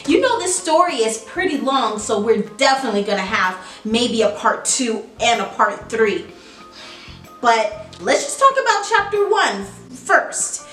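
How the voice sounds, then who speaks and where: A woman storyteller with a fairly high, very lively voice addresses children. She takes her time, stressing words expressively, with music behind her. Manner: animated, emphatic. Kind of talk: expressive read-aloud storytelling and commentary for children.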